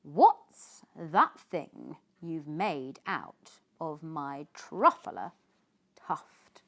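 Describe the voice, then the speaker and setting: A woman with a British accent voicing a small, oldish, bossy forest creature from a storybook, sharp and loudly declaiming. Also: deep voice, lively intonation, extremely slow, vocal fry, pitched below the performer's own voice, exaggerated voice. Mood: indignant.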